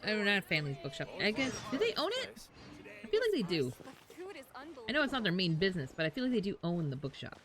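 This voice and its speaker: A woman's voice: mid-range voice, very animated, fast talker. A woman streamer chatting casually and thinking aloud while she plays, informal and sweary. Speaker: video game streamer. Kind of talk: casual live stream commentary, chatting to viewers.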